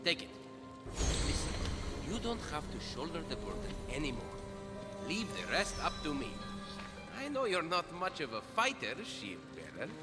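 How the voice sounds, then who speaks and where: A man's voice: fairly high pitch, wildly swooping pitch, moderate pace, loud music behind the voice. A man playing a confident, roguish warrior, a teasing older brother in a video game. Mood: reassuring, cocky. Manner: cocky, teasing. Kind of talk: video game cutscene acting.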